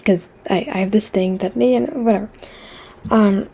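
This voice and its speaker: Chatty teenage girl from Quebec, soft husky voice. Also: mid-range voice, fairly even intonation, moderate pace. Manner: chatty, self-deprecating, many fillers and restarts; drops into a whisper for asides. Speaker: vlogger. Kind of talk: rambling casual chat.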